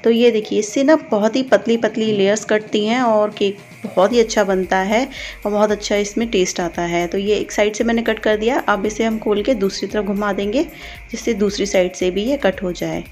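A woman's voice: mid-range voice, fairly even intonation, normal speed, darkish tone, faint music behind. A woman giving informal step-by-step instructions as a cooking channel host. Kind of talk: recipe voice-over, step-by-step instruction.

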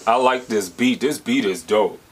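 A man's voice: mid-range voice, unhurried, slightly rough. An American man in his thirties hosting a music reaction video, talking with enthusiasm and rambling with lots of "like" fillers. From United States. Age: 30s. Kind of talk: casual reaction commentary.

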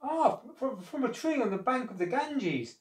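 A storyteller voicing a soft-hearted male crocodile, flustered and hesitant, for a folk tale. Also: high-pitched, expressive, normal speed, voice pushed up. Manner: stammering, hesitant. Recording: roomy echo, distant microphone.

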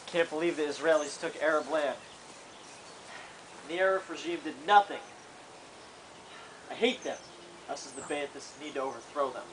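A young man acting an excitable, blunt political agitator in an amateur history skit, picked up by a distant camera. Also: a little high, normal speed, long pauses, natural voice. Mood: resentful. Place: outdoors in suburban yards and open garage. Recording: amateur camcorder, faint outdoor noise.

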